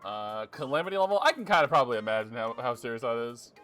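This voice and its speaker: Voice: medium pitch, sing-song, fast talker, a bit bright, soft background music. Chatty joking game streamer. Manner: chatty, joking, lots of filler "like". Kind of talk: casual gameplay commentary.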